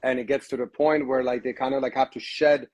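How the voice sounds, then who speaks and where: A man's voice: mid-range voice, little pitch movement, fairly quick, noisy background. A man hosting a livestream interview, talking casually and loosely with many fillers. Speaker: host. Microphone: phone livestream.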